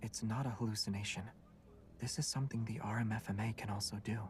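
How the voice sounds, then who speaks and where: An actor playing a calm, guarded young male student with secrets, speaking in a measured way for a video game. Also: on the low side, distant mic, a little hiss or hum, natural voice. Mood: serious.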